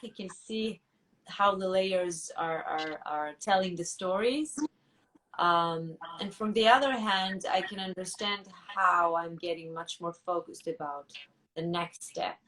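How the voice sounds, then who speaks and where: A woman musician answering interview questions thoughtfully, pausing and restarting often as she searches for words. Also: lowish voice, pushed and bright, far from the mic, low-quality recording. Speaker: musician guest.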